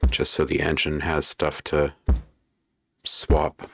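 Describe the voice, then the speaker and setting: A man's voice: extremely low pitch, completely flat delivery, takes it slowly, creaky, fairly bright tone, no echo. A man hosting a casual livestream while making beats, chatting with viewers and thinking aloud as he works. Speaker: livestream host and music producer.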